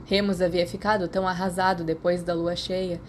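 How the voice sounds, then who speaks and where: A woman's voice: fairly low pitch, flat delivery, fairly quick. A woman with a Brazilian accent reading a story as scripted audiobook narration. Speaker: podfic narrator. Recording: clear background noise.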